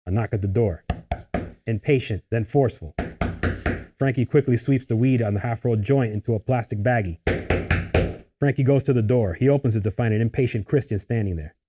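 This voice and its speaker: A man's voice: mid-range voice, a little fast, pauses often, noisy background. A man narrating a screenplay, reading out scene headings and stage directions as scripted narration.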